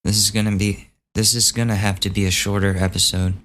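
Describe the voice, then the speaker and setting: A man hosting a solo podcast, losing his voice and hoarse, casually reading out gossip items and swearing as he thinks aloud. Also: on the low side, level tone, brisk, fairly bright tone, slight background noise. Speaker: podcast host.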